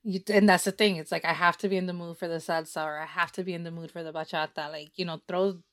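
A woman's voice: on the low side, fairly even intonation, fast talker, fairly bright tone. A young adult woman who is a guest on a podcast, talking casually, agreeable and laughing often. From Puerto Rican and Dominican family, in the US. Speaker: podcast guest and fellow podcast host.

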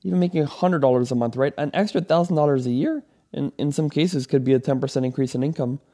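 A man talks through his ideas in a rambling, coaching way, stopping often for fillers and restarts. His voice sits at an ordinary pitch, with a dark, mellow tone. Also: quick pace. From Venezuela. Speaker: podcast host. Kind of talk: solo motivational podcast talk.

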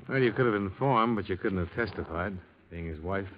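A man's voice: sits low, a little fast, lightly acted. An actor playing a weary, hard-boiled insurance investigator in a 1950s radio drama, terse and dry, narrating his case. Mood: terse, businesslike. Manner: terse, dry, matter-of-fact. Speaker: lead actor and narrator of a detective serial. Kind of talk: 1950s radio detective acting, clipped narration. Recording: muffled old radio recording, music behind.

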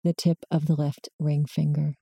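A woman guiding a body scan meditation in slow, measured short prompts with long pauses between them. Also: low-pitched, little pitch movement, creaky, warm tone, slightly rough, no room sound, studio quality. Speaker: meditation guide.

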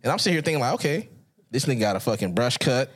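A man hosting a podcast, telling stories in casual, profane banter, laughing loudly and jumping up in pitch when he quotes people. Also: animated, rapid-fire. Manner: loud, laughing, profane storytelling with big pitch jumps. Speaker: podcast host.

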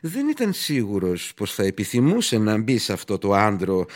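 A man's voice: fairly low pitch, huge pitch swings. A man narrating a horror radio play in Greek literary style, theatrical and suspenseful. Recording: music under the voice. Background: music.

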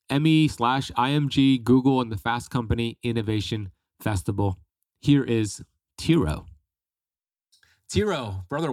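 A man hosting a podcast interview, introducing and questioning his guest in a friendly, enthusiastic way. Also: mid-range voice. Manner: enthusiastic, friendly, some fillers. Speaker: podcast host.

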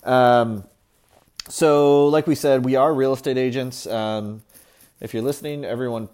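A man's voice: mid-range voice, steady tone, a little slow, darkish tone. A man from Seattle hosting a casual chat, talking in rambling, enthusiastic lists. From Seattle, USA. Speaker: podcast host, real estate agent.

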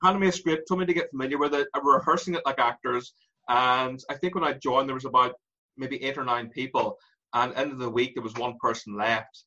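A man in his forties with an Irish accent, a guest telling his story in an animated way and laughing through it. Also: mid-range voice, a little fast, dull audio. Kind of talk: candid interview storytelling.

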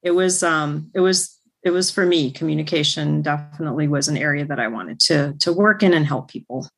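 Low-voiced woman, relaxed interview guest. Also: fairly quick, creaky. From Iran. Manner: warm, reflective, many fillers and restarts. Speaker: interview guest, speech-language pathologist. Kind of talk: casual conversational interview.

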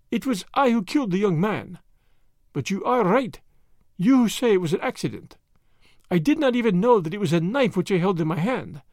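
A man's voice: high-pitched, a little mellow, dry recording, studio quality. A man voicing a dignified, defiant foreign woman with a foreign accent, in a storybook reading.

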